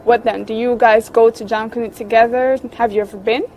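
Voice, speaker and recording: A woman interviewer asks short, prompting questions in a slightly higher voice. Faint background noise sits under her voice. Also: steady tone, brisk, a little mellow, poor audio. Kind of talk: casual interview.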